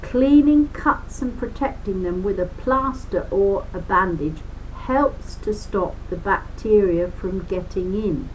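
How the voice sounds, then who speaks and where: A woman's voice: mid-range voice, takes it slowly, hardly any pauses, a little hiss or hum, rough recording. A woman reading a children's book aloud as a lesson, explaining as she goes with chatty asides and stressing key words.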